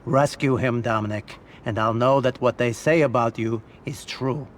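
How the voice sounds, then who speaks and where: A man playing a calculating older crime boss in a video game, speaking in a measured, understated, authoritative way. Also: medium pitch, fairly even intonation, a little hiss or hum, natural voice. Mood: measured, probing. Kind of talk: video game cutscene acting.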